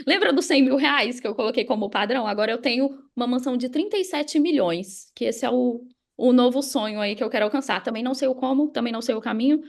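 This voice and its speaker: A woman's voice: a little high, creaky. A young adult Brazilian woman talking casually and motivationally as a podcast guest, emphatic and conversational. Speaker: podcast guest, digital marketing mentor. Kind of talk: casual motivational interview talk.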